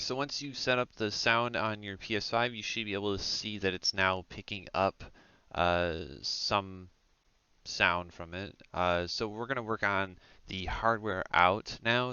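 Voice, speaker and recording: Low-pitched man giving a casual tutorial. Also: pushed and bright, smooth, no echo. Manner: informal, hesitant step-by-step explaining. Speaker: tutorial presenter. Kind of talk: casual software tutorial narration. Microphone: close headset microphone. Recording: dull, lo-fi headset audio.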